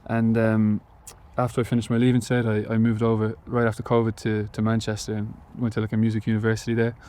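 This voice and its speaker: A man's voice: fairly low pitch, completely flat delivery, quick pace, darkish tone. A young man from Galway with an Irish accent, answering interview questions hesitantly with many fillers and restarts. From Ireland, Galway. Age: young adult. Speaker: musician guest.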